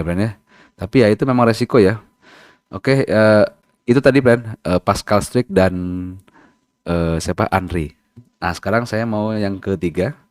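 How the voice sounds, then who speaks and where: A man with a deep, low voice hosts a football channel in a loose, chatty way. He pads his talk with fillers and tag questions and trips over hard foreign names. The sound is close and dry, with no room around it. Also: no echo. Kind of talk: casual spoken commentary.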